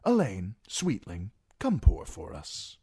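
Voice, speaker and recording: A man voicing a sly, courteous lord in a fantasy audiobook, speaking in a mild, measured, apologetic way. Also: fairly low pitch, huge pitch swings, creaky, warm tone, breathy edge, dry recording, studio quality, full character voice. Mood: courteous, unruffled. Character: smooth, soft-spoken, sly, courteous lord.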